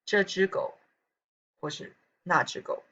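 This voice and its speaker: A woman teacher with a southern Taiwanese accent and a low, husky voice. She reads practice sentences slowly and evenly and breaks off for relaxed remarks to students, on a dull lo-fi recording. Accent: southern Taiwanese Mandarin. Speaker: Chinese language teacher. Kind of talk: recorded pronunciation lesson.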